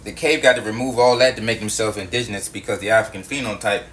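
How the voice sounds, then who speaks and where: A man's voice: on the low side, steady tone, fast, fairly bright tone, slight background noise. A man delivering a heated monologue to his viewers, emphatic and profane, repeating his points. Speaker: video host.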